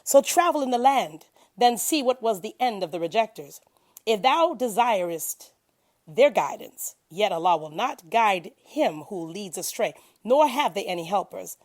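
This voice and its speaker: A woman's voice: medium pitch, lively intonation, unhurried. A woman reading a translation of scripture aloud as devotion, in a solemn, declamatory manner with emphasis on key phrases. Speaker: scripture reader.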